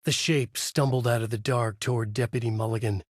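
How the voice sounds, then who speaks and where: An actor playing a brooding, haunted male novelist who narrates his dark horror thriller in a measured, grave, husky voice for a video game. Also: mid-range voice, fairly even intonation, no echo, clean studio sound, lightly acted. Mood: ominous. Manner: measured, grave, dramatic delivery. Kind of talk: scripted noir horror narration.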